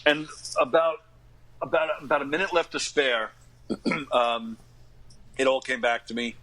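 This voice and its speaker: A middle-aged man telling casual anecdotes as an interview guest, acting out what people said and often clearing his throat. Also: mid-range voice, a little slow, poor audio. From New York area, USA. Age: late 40s to 50s. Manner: animated retelling with acted-out quotes and frequent throat-clearing. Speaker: podcast guest, actor and stand-up comic.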